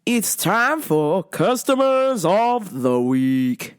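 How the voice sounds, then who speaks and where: A young woman whose voice is low and recovering, telling a work story in a profane, animated storytime rant. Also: drawn-out words, clean tone. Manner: profane, animated, laughs a lot. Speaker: vlogger and retail cashier.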